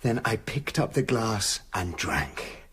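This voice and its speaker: An actor playing a tormented scientist split between two selves in a radio drama, intense and dramatic. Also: male voice, fairly low pitch, very animated, takes it slowly, a bit bright, husky, exaggerated voice. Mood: confessional.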